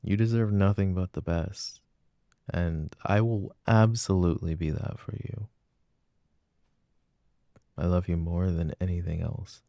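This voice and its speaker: Guy playing a sweet jealous boyfriend, super low gravelly voice, soft and casual, asmr roleplay. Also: steady tone, measured pace, pauses often, dry recording, clean studio sound. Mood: tender, adoring. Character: apologetic jealous boyfriend, tender, playful, adoring. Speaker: voice actor.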